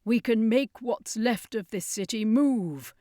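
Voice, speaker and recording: A woman voicing an earnest male circus master in an adventure story, a heroic leader speaking urgently and gravely. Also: a little high, slow, dark tone, dry recording, clean studio sound, pitched above the performer's own voice. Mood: grim, determined.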